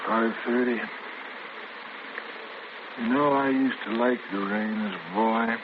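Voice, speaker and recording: An actor playing a weary male inmate who speaks slowly and gloomily in a radio drama. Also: mid-range voice, close to an everyday voice. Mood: gloomy. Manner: slow, reflective and gloomy. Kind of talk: 1940s radio drama acting. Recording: tinny old radio broadcast with hiss.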